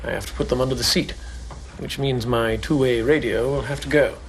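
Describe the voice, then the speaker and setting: An actor playing a stammering, foolhardy man alone at sea, reading his diary in a dry, deadpan way. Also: medium pitch, a little fast, slight background noise, lightly acted. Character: foolhardy man rowing alone, stranded, stiff upper lip.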